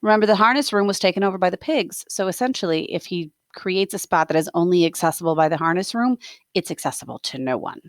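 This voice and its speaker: A woman reading a story aloud as a teacher, expressive, with explanatory asides. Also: on the low side, fast talker, no room sound, clean studio sound.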